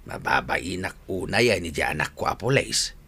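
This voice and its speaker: A man's voice: deep voice, expressive, moderate pace, vocal fry, bright, forceful tone, slightly rough, exaggerated voice. A man acting in a radio drama as a repentant, earnest older father who likes his drink, with an Ilocano accent.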